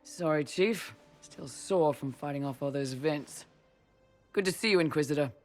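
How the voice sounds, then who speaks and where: An actor plays a loyal young man, second-in-command of a mercenary band in a fantasy game. The talk is easygoing yet respectful. Music plays clearly behind the voice. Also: mid-range voice, normal speed, natural voice. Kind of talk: video game voice acting.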